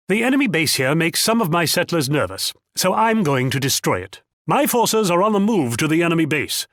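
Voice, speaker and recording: Proud Dutch game commander, slightly high male pitch, very expressive intonation, pompous, patronizing, theatrical. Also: no echo, studio quality. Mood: confident, patronizing. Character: proud, condescending Dutch commander, wealthy and shrewd. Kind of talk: video game character voice lines.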